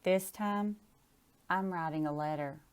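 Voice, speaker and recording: A woman's voice: on the low side, a little slow, smooth. A woman chatting casually and reading a picture book aloud. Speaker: storybook reader.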